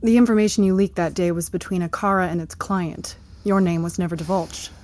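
An actress playing a sceptical, cutting interrogator who puts pointed, sardonic questions in an audio drama. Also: female voice, lowish voice, fairly even intonation, fairly quick, a little mellow, a little hiss or hum, natural voice.

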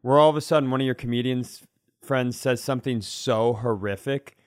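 Guy comedian on a podcast, smooth clear voice, real dry close sound, rambling story with acted-out bits. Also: mid-range voice, normal speed, no echo. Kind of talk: casual comic storytelling.

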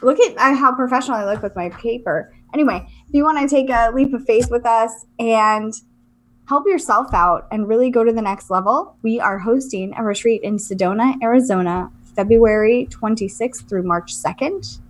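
A woman's voice: on the high side, moderate pace. A woman from southern Florida co-hosting a podcast, talking in an informal, chatty, self-deprecating way. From southern Florida, United States. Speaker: podcast co-host. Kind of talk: casual conversational podcast chat.

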